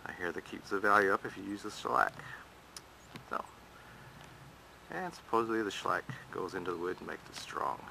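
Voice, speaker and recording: Low gravelly man, plain folksy talk. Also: brisk, pauses often, pushed and bright, slight background noise, rough recording. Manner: plain-spoken, folksy, practical. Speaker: hobby boat restorer. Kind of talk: casual narration over his own camera footage. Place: outdoors in a backyard.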